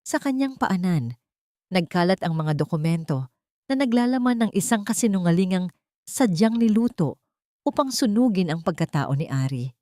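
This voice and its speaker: A woman's voice: fairly low pitch, lively intonation, normal speed, dark tone, no echo, studio quality. A woman narrator reading a scripted romantic audio drama in a dramatic storytelling style.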